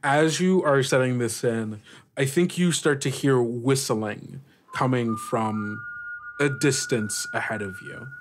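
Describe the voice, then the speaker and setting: A man runs a tabletop game as game master. His voice sits at an average pitch and moves slowly, feeling its way through informal, improvised descriptions piece by piece. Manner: halting, searching, informal.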